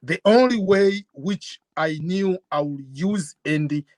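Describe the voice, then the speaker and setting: A middle-aged man from Tanzania, a guest telling his story in an interview in an earnest, measured way. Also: high voice, a little mellow, no room sound, clean studio sound. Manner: earnest, measured, with fillers and restarts. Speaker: guest YouTuber.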